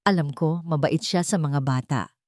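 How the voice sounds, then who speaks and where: A woman's voice: low voice, normal speed, no room sound, close to an everyday voice. A woman reader acts out a scheming female cousin from a romantic audio story. She has a Filipino accent and talks with hesitant, put-on concern, as if reluctant to speak ill. The sound is dry and close. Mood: hesitant insinuation. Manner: hesitant, falsely worried. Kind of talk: storybook character dialogue.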